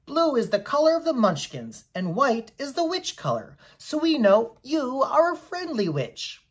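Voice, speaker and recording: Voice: high-pitched, wildly swooping pitch, unhurried, husky, pitched above the performer's own voice, heavily acted. A performer voicing a rich, friendly Munchkin man, grave and courteous, in a storybook reading. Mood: friendly, impressed.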